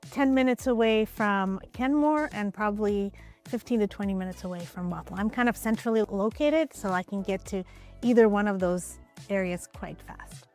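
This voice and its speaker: A woman from Ukraine, a real estate agent, answering interview questions in a relaxed, conversational way, often hedging with "I would probably say.". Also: mid-range voice, moderate pace, faint music behind. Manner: relaxed, conversational, with frequent hedges.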